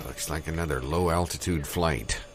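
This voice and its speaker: A man improvising comedy banter in a deadpan, halting way. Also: very deep voice, background noise.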